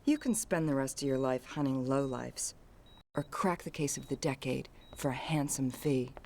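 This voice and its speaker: An actress playing a poised, vengeful young heiress in a video game, cool and dry in delivery. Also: female voice, very deep voice, normal speed, a little mellow, a little hiss or hum. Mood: wry, businesslike. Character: poised, sardonic, vengeful young heiress, murdered man's daughter. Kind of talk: video game cutscene acting.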